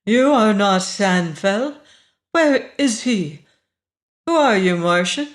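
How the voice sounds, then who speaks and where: Man voicing an imperious, haughty young woman, raised pitch. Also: slow, a bit bright. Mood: haughty, wary. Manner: commanding, urgent, ringing.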